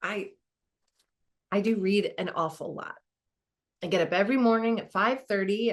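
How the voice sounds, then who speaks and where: A woman performs as a reflective female lawyer in a dramatized monologue. She talks conversationally and anecdotally, with a wry streak, at a middling pitch. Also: lightly acted. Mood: wry, amused.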